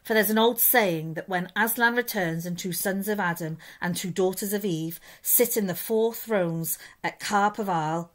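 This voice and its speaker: A woman's voice: medium pitch, lightly acted. A woman voicing a kindly male beaver who speaks earnestly and urgently.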